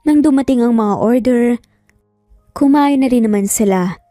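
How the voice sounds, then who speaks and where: A Filipino woman narrates a scripted romance. Her voice is mellow and a little high, recorded dry and close, with soft music underneath. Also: moderate pace, no room sound. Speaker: story narrator. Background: background music.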